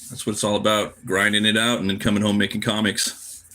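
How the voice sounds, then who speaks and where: Man, tired, low forceful voice, laid-back slangy livestream chat, muttering to himself. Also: little pitch movement, a little fast. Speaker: cartoonist live streamer. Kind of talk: casual livestream chat while drawing.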